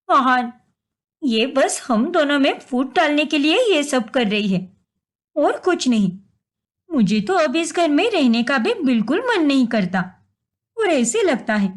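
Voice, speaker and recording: A scheming young daughter-in-law from a family storybook tale, played by a woman. Her high voice is very animated. She whines and complains with deceitful, dramatic flair. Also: full character voice.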